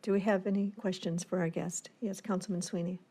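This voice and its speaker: A woman's voice: lowish voice, fairly even intonation, brisk, mellow, breathy edge. A woman chairing a formal public meeting in a courteous, procedural manner. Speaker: committee chair.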